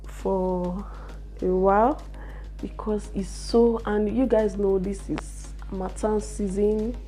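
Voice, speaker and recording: A young woman with a slightly hoarse voice, chatting slowly through a hair care vlog in a small room. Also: mid-range voice, warm tone. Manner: slow, chatty explaining with fillers. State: a little hoarse from a cold. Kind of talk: casual how-to vlog.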